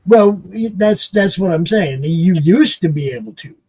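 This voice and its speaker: Man, early sixties, high voice, soft mellow tone, casual show host chat, repeats himself. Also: lively intonation, measured pace, husky, dry recording. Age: early 60s. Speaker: streaming network host.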